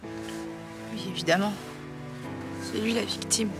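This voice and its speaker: An actress playing a hurt young woman in a soap opera, sharp and accusing. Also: mid-range voice, fairly even intonation, takes it slowly, over music. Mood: bitter. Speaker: Samia.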